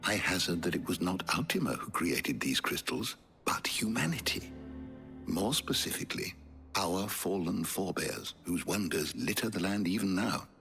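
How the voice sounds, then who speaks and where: An actor playing a learned old scholar in a video game, speaking in a formal, deliberate, erudite manner. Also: male voice, low voice, sing-song, gravelly, sharp tone, soft background music, full character voice. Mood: pondering. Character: learned old male scholar, measured and fond of lore. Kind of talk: dramatic video game acting, expository.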